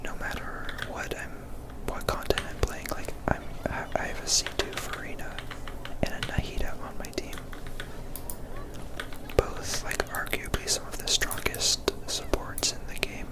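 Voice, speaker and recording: A woman whispering casual, rambling ASMR commentary over gameplay in a soft, unvoiced voice. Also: measured pace. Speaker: gameplay video creator.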